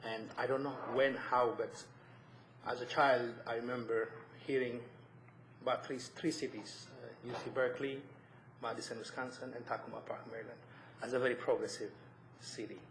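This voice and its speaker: A man from Ethiopia with an Ethiopian accent, giving an earnest, halting personal statement at a public meeting. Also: mid-range voice, level tone, fairly bright tone. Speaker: resident applying for committee. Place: indoor council meeting room. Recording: echoey meeting room, faint noise.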